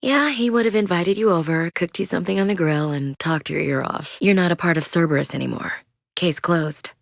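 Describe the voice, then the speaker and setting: A woman's voice: on the low side, fairly quick, vocal fry, dry recording, studio quality. A woman playing a tough, candid young space marine in a sci-fi video game, with an American accent and a blunt, wry military directness. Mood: wry, frank. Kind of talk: cinematic sci-fi game dialogue.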